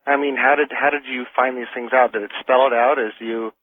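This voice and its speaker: A man's voice: medium pitch, steady tone, fairly quick, dry recording. A man chatting casually as a caller to a radio show. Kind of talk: casual chat. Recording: thin telephone line on radio.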